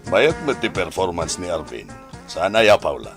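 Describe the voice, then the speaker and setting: A man's voice: low-pitched, big pitch swings, moderate pace, music in the background. A man with an Ilocano accent playing a hesitant, easily cowed office boss in a radio drama. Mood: mild, inquiring. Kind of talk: Philippine radio drama acting.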